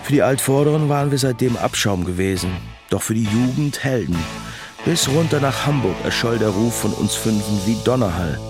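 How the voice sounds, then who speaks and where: A man's voice: medium pitch, animated, normal speed, close to an everyday voice. An actor playing a wry man who looks back on his village youth in literary, ironic radio drama narration. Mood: wry, storytelling. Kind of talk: scripted first-person radio drama narration. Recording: music behind the voice.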